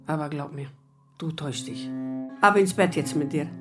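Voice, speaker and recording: An actress playing a motherly, sorrowful older matron in a radio drama, gentle but firm. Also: sits low, normal speed, over music, close to an everyday voice. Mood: gentle firmness. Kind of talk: German radio drama acting.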